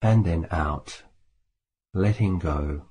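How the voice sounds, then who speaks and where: A man with a deep, soft-edged voice guides a meditation. He speaks calmly and deliberately, leaving long pauses between phrases. A faint hiss sits behind his voice. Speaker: Buddhist monk, meditation teacher.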